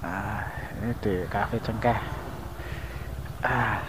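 A man's voice: on the low side, fairly even intonation, slow pace. A man with an East Javanese accent hosting a casual riding vlog, chatty and joking at his own expense as he rides through town to a cafe. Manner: chatty, joking, laughs at himself. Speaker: motovlogger host. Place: riding a motorbike on city streets, then a cafe. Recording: dull sound with loud traffic noise.

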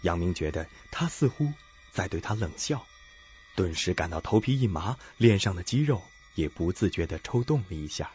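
A man's voice: sits low, sing-song, normal speed, darkish tone, a little hiss or hum. A man narrating a scripted suspense radio drama as storyteller. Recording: dull lo-fi audio with faint music.

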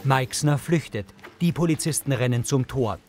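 A man reading scripted audio description of TV scenes in the present tense, measured and matter-of-fact. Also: mid-range voice, a little mellow.

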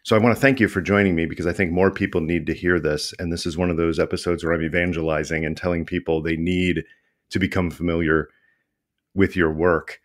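A man's voice: deep voice, fairly even intonation, brisk. A man hosting a podcast interview, enthusiastic and self-deprecating, with frequent restarts. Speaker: podcast host. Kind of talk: conversational interview.

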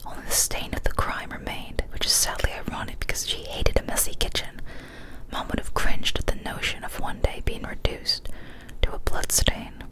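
Young woman in a hushed whisper. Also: rough recording. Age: young adult. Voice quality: breathy, unvoiced whisper. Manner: soft, deliberate, hushed storytelling. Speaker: ASMR storyteller. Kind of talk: whispered ASMR reading of horror stories. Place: small decorated indoor room. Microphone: large studio microphone, close up.

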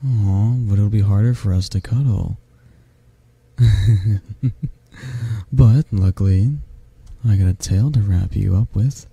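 A man playing a tall, affectionate, flirty half-dragon in an intimate ASMR roleplay, speaking gently and haltingly with a low, husky, gravelly voice. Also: no echo. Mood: teasing, flirty. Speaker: roleplay voice actor.

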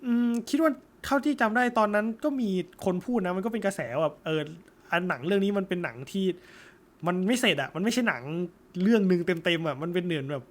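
A young man with a fairly high voice talks through his thoughts in a relaxed chat. He pauses often, hunts for the right words, and fills the gaps with small fillers. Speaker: co-host. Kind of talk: casual chat about a film.

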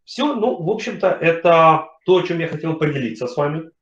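Middle-aged male webinar speaker, halting casual delivery, webcam sound in an echoey home room. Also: a little high, animated, fairly bright tone. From Kharkiv, Ukraine. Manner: hesitant, many fillers and pauses. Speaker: webinar speaker, company CEO. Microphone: webcam on video call. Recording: roomy, dull video call audio.

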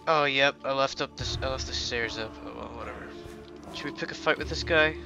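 A congested young man talking through a game on a headset mic, chatty and rambling, with sudden excited outbursts. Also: medium pitch, fairly quick, gravelly, lo-fi sound, over music. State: congested from illness. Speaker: gaming channel host. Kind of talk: casual let's play commentary.

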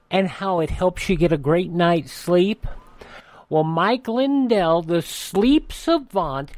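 A man's voice: high voice, a little mellow, dry recording. A man with an American accent hosting a radio show, reading announcements and ads in a conversational way. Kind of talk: radio host announcements and live ad reads.

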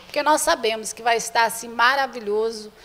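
A woman's voice: a little high, a little fast, a bit bright. An older woman with a Brazilian accent, earnestly inviting the public in an interview and often repeating herself as she addresses viewers directly. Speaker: association president, interview guest. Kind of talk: spoken interview inviting the public to a charity event. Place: indoor room with bare walls. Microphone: handheld reporter's microphone held before her. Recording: reverberant room sound.

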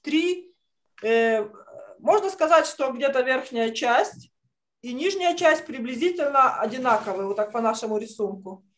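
A woman's voice: sits high, lively intonation, measured pace. A middle-aged woman teaching an online children's drawing lesson, encouraging and fond of affectionate diminutives. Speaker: art teacher. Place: indoor room, on a video call.

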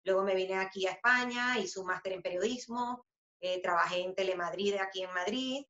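A woman in her thirties with a Venezuelan accent, answering interview questions in a casual, animated way, with many fillers and restarts. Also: mid-range voice, moderate pace. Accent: Venezuelan Spanish. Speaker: interviewee, author and journalist. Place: home room with a book poster. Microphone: video call webcam. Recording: roomy, slightly dull webcam audio.

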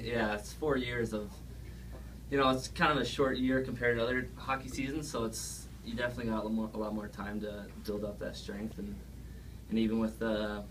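A man in his early twenties, a college athlete casually answering post-game questions and repeating words as he goes. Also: on the low side. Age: early 20s. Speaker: college hockey player. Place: small press room, at a table. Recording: slightly noisy, distant microphone.

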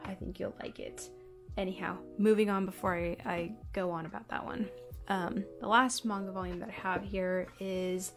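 Casting: young woman book vlogger, relaxed chatty delivery, soft music underneath. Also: mid-range voice. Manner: chatty, with many ums and likes. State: voice going hoarse. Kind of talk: casual monthly reading wrap-up. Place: home, by a bookshelf.

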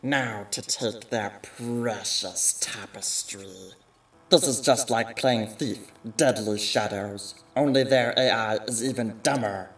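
A man's voice: lowish voice, slow pace, a bit bright, breathy edge, far from the mic, voice pushed down, heavily acted. A man voicing a bullying, grandiose rat villain in a parody cartoon dub, theatrical and menacing. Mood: sly.

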